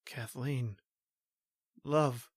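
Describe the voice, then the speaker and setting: A woman's voice: extremely low pitch, steady tone, very slow, breathy edge, dry recording, clean studio sound, heavily acted. A woman playing a stoic, protective male police officer in an old-time radio horror drama, brusque and melodramatic. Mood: entranced, strained.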